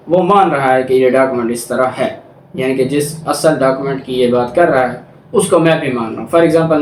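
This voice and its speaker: A man with a Pakistani accent giving an explanatory law lecture, reading out provisions and restating them in a didactic way. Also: mid-range voice. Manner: didactic, repeats and rephrases key points. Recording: roomy, distant mic with background noise.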